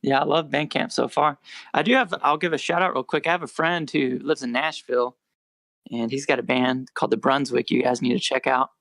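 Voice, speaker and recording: A quiet-voiced young American man and musician, chatting in a relaxed, rambling way as a podcast guest. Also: mid-range voice, fairly quick, gravelly, no echo. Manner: rambling, self-correcting, relaxed. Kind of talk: casual podcast interview.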